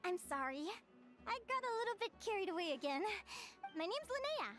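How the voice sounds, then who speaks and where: A woman's voice: extremely high pitch, big pitch swings, a little fast, a bit bright, soft background music, exaggerated voice. A woman voicing an eager, bubbly young guild advisor who lectures with gushing enthusiasm, in a video game. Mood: sheepish. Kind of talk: game voice acting. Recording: game soundtrack behind.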